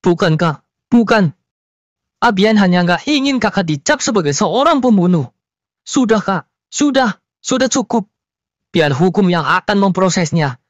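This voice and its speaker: A woman's voice: medium pitch, lively intonation, a little slow, pauses often, dry recording, lo-fi sound, natural voice. A woman voicing an earnest young policeman and younger brother, imploring and urgent, in a horror cartoon. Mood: earnest, pleading. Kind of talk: melodramatic horror cartoon acting. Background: background music.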